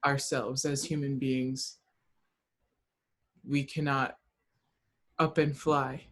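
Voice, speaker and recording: A woman's voice: very deep voice, level tone, slow pace. A woman taking part in a book discussion, speaking in a casual, reflective way and relaying comments.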